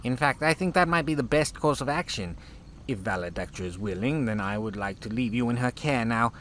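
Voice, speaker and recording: An actor playing a stiff, reverent, guarded official in a sci-fi audio drama, speaking with solemn formality and deference. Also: male voice, medium pitch, animated, fairly quick, a bit bright, close to an everyday voice. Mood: eager, deferential. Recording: faint background noise.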